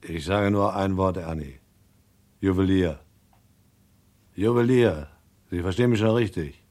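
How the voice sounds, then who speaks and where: A small-time crook with a deep voice. He speaks with sly, formal politeness, courting and insinuating in a radio play. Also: unhurried, darkish tone.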